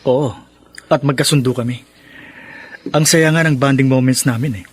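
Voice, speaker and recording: An actor playing a kind, open-minded older brother in a radio drama, reasoning and reassuring. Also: male voice, medium pitch, fairly even intonation, normal speed, husky, lightly acted. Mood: calm, defensive. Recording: music behind the voice.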